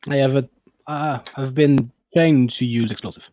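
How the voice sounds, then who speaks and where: A man's voice: medium pitch, fairly even intonation, normal speed, dry recording, highs cut off, close to an everyday voice. A man voicing an earnest Russian soldier in a tabletop game, speaking clipped, broken English with a put-on Russian accent.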